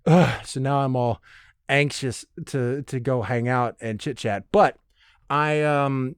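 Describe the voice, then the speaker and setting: A man's voice: mid-range voice, steady tone, takes it slowly, clear, resonant tone, dry recording. Rambling man hosting a casual podcast, dry sound. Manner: rambling, conversational; enthusiastic, casually profane. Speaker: podcast host. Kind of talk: casual solo podcast monologue.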